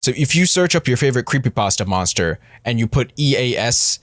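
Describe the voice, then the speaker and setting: A man's voice: mid-range voice, big pitch swings, fairly quick, no echo, studio quality. A man giving casual, unscripted commentary as a video creator, talking bluntly with slang and swearing.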